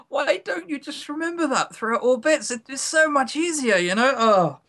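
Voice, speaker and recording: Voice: high-pitched, big pitch swings, a little slow, pushed and bright. A podcast co-host chatting casually over a voice call, with a wry, sarcastic manner and the odd casual swear. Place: remote voice call.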